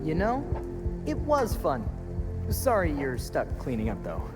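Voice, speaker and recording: Young masked vigilante, animated delivery. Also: male voice, fairly high pitch, unhurried, loud music behind the voice. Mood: wry, focused. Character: young male masked vigilante, confident and wry. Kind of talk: video game cutscene acting.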